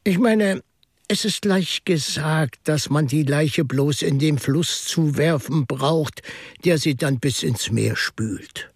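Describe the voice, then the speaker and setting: Radio drama shrewd, mild priest-sleuth: husky, creaky, slow-thinking and measured, close dry sound. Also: male voice, fairly high pitch, dry recording, full character voice. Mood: calm, probing. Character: Father Brown, mild, shrewd, reflective priest-detective. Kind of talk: German radio drama acting.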